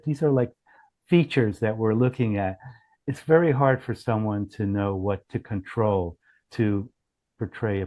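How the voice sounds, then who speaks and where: A man speaking as a company founder, explaining technical matters haltingly on a group call. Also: mid-range voice, mellow. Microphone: online group call.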